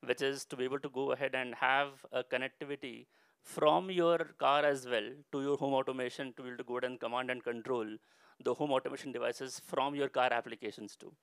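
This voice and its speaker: A middle-aged man speaks as a conference presenter in a clear, resonant voice at a medium pitch. He explains in a semi-formal, conversational way, leaning on habitual linking phrases. The handheld microphone gives a close sound with little echo. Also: fairly even intonation, fairly quick, a bit bright. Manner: explanatory, with frequent "you know" and "go ahead and" phrasing. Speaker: company director presenting a product launch. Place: stage at a large indoor expo conference.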